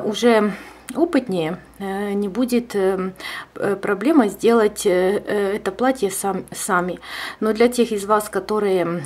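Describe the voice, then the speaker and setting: Female crochet tutor, Czech accent, halting delivery with frequent "eh" fillers. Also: medium pitch. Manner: hesitant, many filler sounds. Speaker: crochet teacher. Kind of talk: tutorial explanation. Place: indoors at a table.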